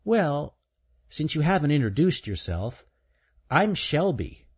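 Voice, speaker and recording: A man's voice: a little high, big pitch swings, slow pace, breathy edge, voice pushed up, heavily acted. A man doing a kind little girl squirrel. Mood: gentle, encouraging.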